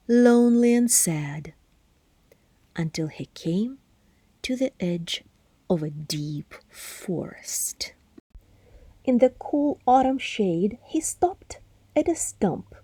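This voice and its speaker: A woman narrating a children's story aloud expressively, with deliberate phrasing and hushed, breathy word endings. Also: mid-range voice, no echo. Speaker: storybook reader.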